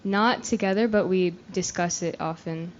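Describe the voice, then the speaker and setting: A young woman with a fairly low voice introduces herself, shy and modest, and laughs softly. The recording is dull and lo-fi. A faint hiss runs underneath. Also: moderate pace. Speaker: youth retreat participant. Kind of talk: casual self-introduction.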